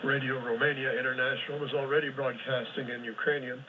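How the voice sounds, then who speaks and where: A man with a bright, forceful voice reads shortwave radio news in a brisk, matter-of-fact way, slipping in dry sarcasm. His voice sounds distant, carried over a shortwave relay with faint noise beneath it. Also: medium pitch, level tone. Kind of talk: reading listener reports and frequency listings.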